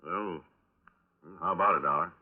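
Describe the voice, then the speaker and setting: A folksy middle-aged police lieutenant with a very low voice. He questions in a fatherly, patient way, tagging questions with little prompts, in old radio crime drama style. The recording is thin and narrow-band. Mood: probing, folksy. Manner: folksy, drawn-out questioning with trailing huh. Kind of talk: 1950s radio drama acting.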